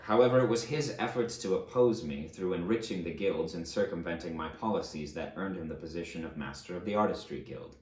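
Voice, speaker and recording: A low-voiced fallen tyrant, acted by a man in a dramatic audiobook. He confesses his atrocities with grave, measured emphasis and heavy remorse. The room rings around his deep voice. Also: reverberant. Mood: brooding. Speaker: audiobook narrator. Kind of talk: dramatic first-person audiobook reading.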